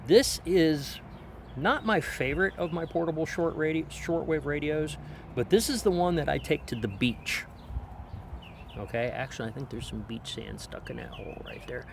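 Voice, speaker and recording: A man's voice: fairly high pitch, expressive, normal speed, creaky. A man giving a casual, explanatory hands-on product review in an informal way, speaking outdoors on a deck. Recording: outdoor background noise.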